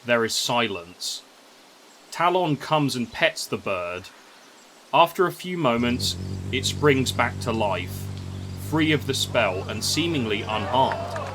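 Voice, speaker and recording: Male narrator, scripted fantasy story, expressive and dramatic, medium pitch, noticeable noise on the recording. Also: slow.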